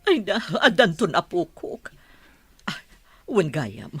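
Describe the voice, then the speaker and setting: A woman's voice: on the low side, wildly swooping pitch, takes it slowly, fairly bright tone, breathy edge, no echo, heavily acted. A woman playing a proud, wealthy mother of a grown son in a radio drama, haughty and prying in melodramatic style. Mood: haughty, questioning.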